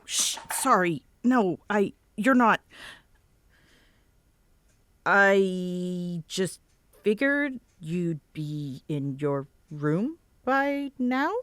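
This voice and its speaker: A woman's voice: sits low, full character voice. A young woman playing a grumpy, teasing tsundere roommate in an audio roleplay, with a sarcastic, huffy delivery. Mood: exasperated, flustered. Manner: sarcastic, huffy, sighing exasperation with soft spots. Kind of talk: roleplay audio drama, conversational acting.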